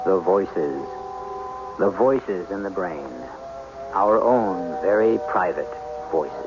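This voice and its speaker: A man hosting an old-time radio drama, narrating theatrically in a portentous, deliberate, rhetorical manner. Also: deep voice, expressive, loud music behind the voice. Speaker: host. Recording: dull old radio recording.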